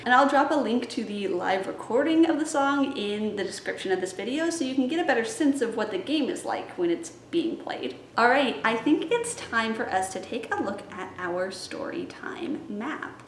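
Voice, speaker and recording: A woman's voice: on the high side, lively intonation, normal speed, few pauses, slight background noise. Playful librarian woman in an echoey room. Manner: playful, sings and chants rhymes. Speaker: children's librarian. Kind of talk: planning story time for viewers. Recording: echoey room with faint music.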